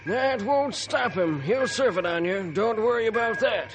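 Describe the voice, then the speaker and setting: A man's voice: sits high, animated, unhurried, music in the background. An actor playing a frank, loyal male railroad foreman in a 1950s radio drama. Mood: frank, needling. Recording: vintage 1950s radio recording.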